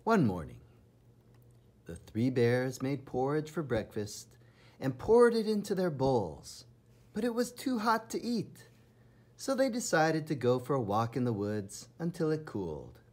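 A man reading a children's storybook aloud with enthusiastic, theatrical emphasis and dramatic pauses in a husky, breathy voice. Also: medium pitch, sing-song, a little mellow. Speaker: storybook reader. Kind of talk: children's read-aloud narration.

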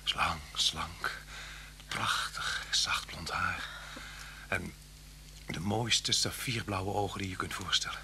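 Brooding fugitive husband, low but tense and bright, halting and hushed, old radio drama with hum. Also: male voice, creaky, heavily acted. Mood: wistful, bitter. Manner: halting, brooding, often dropping to a near-whisper. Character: unhappy, soft-spoken husband on the run from police. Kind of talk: Dutch radio play acting.

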